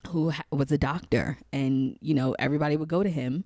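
A woman, a guest telling her story in a casual interview, animated and rambling with lots of laughter. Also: low voice, brisk, dry recording, studio quality. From Queens, New York City. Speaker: guest, psychic channel.

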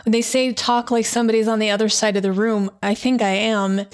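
A woman with a small, soft voice chatting casually as the interviewer. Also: fairly high pitch, level tone, fairly quick, clean tone. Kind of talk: casual chat.

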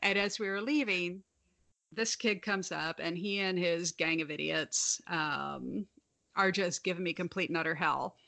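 A woman's voice: on the low side, a little slow, gravelly, sharp tone. A young adult woman with a slight lisp, telling stories frankly in a casual podcast chat and swearing freely. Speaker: podcast co-host.

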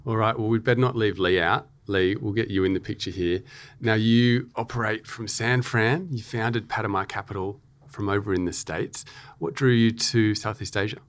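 A man's voice: on the low side, fairly even intonation, moderate pace. A man hosting an interview, asking casual questions with some hesitation and fillers.

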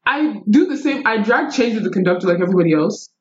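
A young woman telling stories in casual, slangy, animated talk with Nigerian turns of phrase. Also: medium pitch, fast, lo-fi sound. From United States. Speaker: podcast guest. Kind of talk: casual conversational storytelling.

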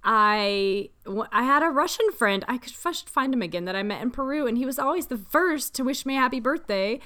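A woman's voice: fairly high pitch, lively intonation, brisk, bright, forceful tone. A woman in her thirties co-hosting a podcast in casual chat. She sometimes reads her research notes aloud. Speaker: podcast co-host.

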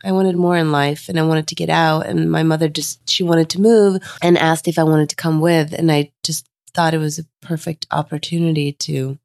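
Low gravelly-voiced woman from Sweden. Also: steady tone, normal speed, few pauses, a little mellow, no room sound, clean studio sound. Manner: candid, reflective storytelling. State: unwell, lower voice. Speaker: guest. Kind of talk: casual interview conversation.

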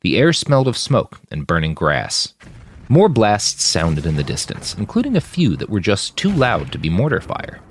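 A man reading dramatic fiction aloud in an expressive, emphatic storytelling manner. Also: on the low side, normal speed, slight background noise. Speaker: author narrating own fiction. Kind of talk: dramatic audiobook narration.

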